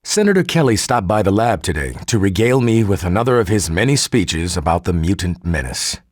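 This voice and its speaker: An actor playing a formal, articulate male geneticist recording an audio log for a game, measured and husky. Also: sits low, very animated, darkish tone, no room sound, studio quality. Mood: earnest, hopeful. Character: earnest male geneticist turning cold and militant.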